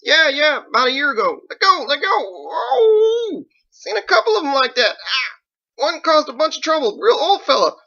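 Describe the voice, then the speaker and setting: Man putting on a high-pitched bewildered town drunk. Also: big pitch swings, moderate pace, vocal fry, pushed and bright, dull audio, full character voice. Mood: pained, pleading. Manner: folksy, exclamatory, dropped words. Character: bewildered drunk townsman, easily cowed, folksy.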